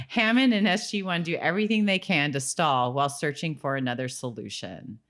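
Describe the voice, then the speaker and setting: Female co-host, low voice, relaxed rewatch chat with wry, playful asides. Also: normal speed. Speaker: podcast co-host. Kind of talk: casual TV rewatch discussion with some recap narration.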